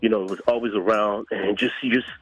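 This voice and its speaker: Deep-voiced man, pausing between phrases. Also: fairly even intonation, no room sound, rough recording. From Democratic Republic of Congo. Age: middle-aged. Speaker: guest, entertainment producer. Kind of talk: conversational interview answers.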